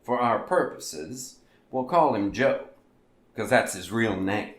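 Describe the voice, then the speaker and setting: Man acting as a quirky, lively, echoey show host. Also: on the low side, takes it slowly. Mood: playful, hyped. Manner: folksy, wisecracking, theatrical. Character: quirky, jokey male host of a weird-tales show. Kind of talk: scripted podcast drama in a radio-show format. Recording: roomy, echoing voice recording.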